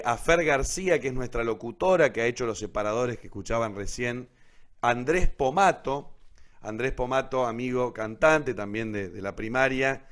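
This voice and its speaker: An Argentine man hosting a live online show casually, in a rambling, halting way that often corrects itself. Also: medium pitch, a bit bright. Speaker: host of an online radio theatre show.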